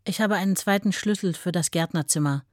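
A woman's voice: on the low side, fairly quick, background noise, natural voice. An actress playing a worn-out, candid ex-wife who gives her recollections in a radio drama. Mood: matter-of-fact.

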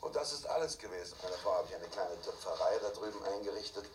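A man's voice: low voice, lively intonation, quick pace. A man chatting in a rambling, anecdotal way, recorded at a distance in an echoey room on a noisy archive tape. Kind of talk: casual chat, anecdotal.

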